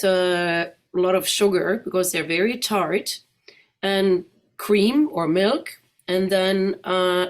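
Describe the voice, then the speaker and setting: A middle-aged woman with a German accent, a guest speaker walking a club audience through slides in a chatty way and asking them questions. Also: medium pitch.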